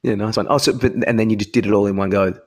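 A man co-hosting a podcast, asking inquisitive questions in a halting, casual conversational way. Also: fairly low pitch, fairly even intonation. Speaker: podcast co-host. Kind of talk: casual interviewing chat.

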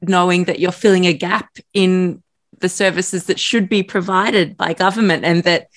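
A woman interviewer asks long, thoughtful questions in a measured way. Her voice sits a little low for a woman. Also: fairly even intonation.

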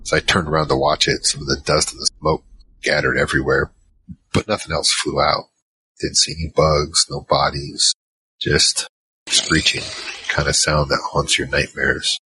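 A man's voice: rumbling low, fairly even intonation, normal speed, bright, forceful tone, slight background noise, exaggerated voice, rough recording. A man playing a battle-worn gunfighter who narrates his own story in an audio drama, terse and world-weary. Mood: somber. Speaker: narrator and lead character. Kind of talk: first-person noir-like audio drama narration. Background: music.

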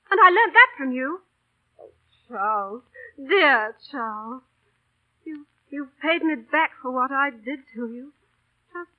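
An actress in an old radio drama playing a bossy but warm-hearted young woman of the theatre, scolding and coaxing in urgent, emotional, colloquial speech. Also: sits high. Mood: remorseful, tender. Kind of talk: 1930s-40s radio drama acting. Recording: old radio broadcast, phone-like narrow band.

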